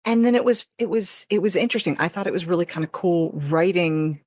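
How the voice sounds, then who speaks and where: A woman with a low-pitched voice speaks as a podcast guest. She chats in a casual, reflective way, and the recording is dry with almost no room sound. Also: brisk, a little mellow, dry recording.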